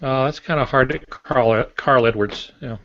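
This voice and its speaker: A man's voice: mid-range voice, normal speed, a bit bright, no room sound. An older man chatting informally and without hurry as a podcast co-host. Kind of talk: casual chat. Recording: dull lo-fi audio.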